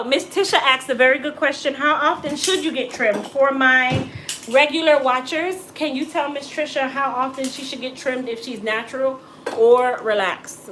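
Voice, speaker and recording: A woman's voice: fairly high pitch, a bit bright, a little hiss or hum, low-quality recording. A middle-aged American woman, a hairstylist, chatting casually with live-stream viewers, joking and teasing as she answers. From United States. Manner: joking, teasing, laughs often.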